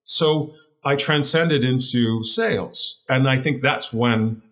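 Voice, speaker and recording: A man in his forties with a deep voice recounts his path into business as an interview guest. He speaks earnestly and at an ordinary pitch, stumbling over repeated words as he gathers his thoughts. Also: slow, fairly bright tone. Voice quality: deeper voice. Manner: earnest, repeats words while searching for phrasing. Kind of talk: reflective storytelling in an interview.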